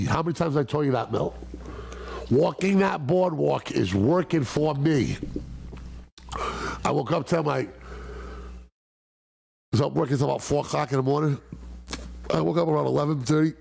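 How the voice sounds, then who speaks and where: A man's voice: mid-range voice, darkish tone. An older man hosting a casual talk show, rambling and joking with his guests. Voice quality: gravelly, husky. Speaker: host.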